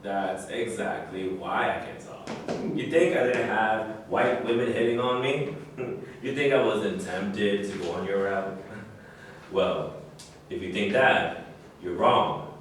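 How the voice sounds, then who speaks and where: A proud, boastful former sports star played by a man in a staged play reading. He speaks emphatically at a middle pitch, heard from far off in a very echoey room with faint noise. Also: slow pace. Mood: defensive.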